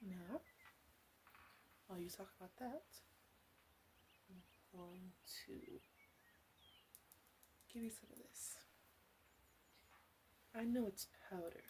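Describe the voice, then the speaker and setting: A woman's voice: medium pitch, darkish tone, close to an everyday voice. A woman playing a flirty, doting, slightly bossy witch in an ASMR roleplay, rambling and hesitant as she slips in and out of whispers. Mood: coaxing. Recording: clearly audible background noise.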